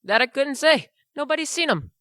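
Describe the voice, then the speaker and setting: A woman's voice: sits high, sing-song, a little fast, sharp tone, no echo, full character voice. A woman playing an earnest, uneasy young man in an amateur radio play, with stagey delivery.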